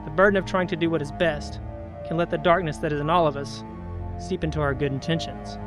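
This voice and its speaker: A man's voice: on the high side, steady tone, a little fast, lightly acted. A man playing the solemn, mysterious host of a suspense audio drama, delivering scripted narration. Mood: solemn, ominous. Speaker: host of an audio anthology show. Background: music under the voice.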